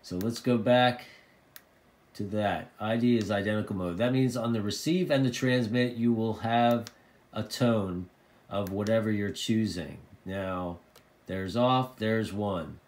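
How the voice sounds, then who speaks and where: A man's voice: on the low side, unhurried, a little mellow. A man informally explaining and demonstrating a product, repeating phrases for emphasis. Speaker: presenter of a radio tutorial. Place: indoors at a desk.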